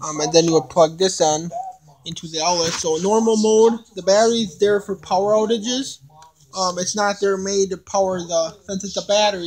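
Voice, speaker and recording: Young guy doing a casual unboxing, kinda halting, repeats himself as he explains stuff. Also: high-pitched, low-quality recording. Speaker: hobbyist video maker. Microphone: handheld camera, no tripod.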